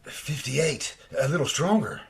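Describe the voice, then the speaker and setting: A man playing an eager, deferential scientist's assistant in old-time radio drama style, excitable and a bit hesitant. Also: a little high, measured pace, gravelly. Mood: concerned.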